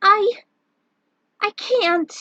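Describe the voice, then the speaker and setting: A woman's voice: very high voice, drawn-out words, sharp tone, no room sound, highs cut off, voice pushed up, heavily acted. A woman voicing a frightened young sorceress who summons fierce determination, in a dramatic fantasy story.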